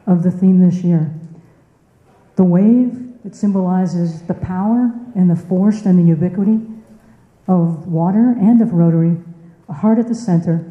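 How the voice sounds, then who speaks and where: A woman giving an informal speech to a club in a meeting room, conversational, putting questions to listeners and repeating words for emphasis, with echoey, muffled sound. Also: lowish voice. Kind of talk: informal presentation to a club audience.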